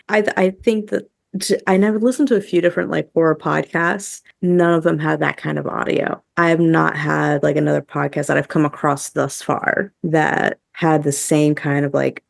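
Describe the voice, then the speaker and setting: A woman's voice: low voice, creaky, no echo, clean studio sound. A woman co-hosting a podcast in a casual, chatty and enthusiastic way, peppering her talk with "like". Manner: chatty, enthusiastic, heavy use of "like". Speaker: podcast co-host. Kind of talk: casual podcast review chat.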